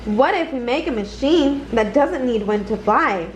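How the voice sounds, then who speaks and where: A woman's voice: fairly high pitch, expressive, unhurried, a little hiss or hum, low-quality recording. A woman voicing a friendly, enthusiastic male cat inventor from a storybook, cheerfully explaining. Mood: keen.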